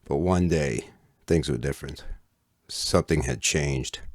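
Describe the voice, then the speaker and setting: A middle-aged man reading an autobiographical story as its author, in a measured, literate storytelling delivery. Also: extremely low pitch, no echo, studio quality. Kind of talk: scripted autobiographical narration.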